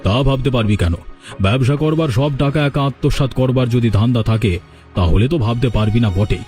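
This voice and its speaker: Guy doing a bossy greedy village landlord for a cartoon, lowish mellow voice, halting and accusing. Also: faint music behind, natural voice. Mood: stern accusing. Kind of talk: cartoon character dialogue.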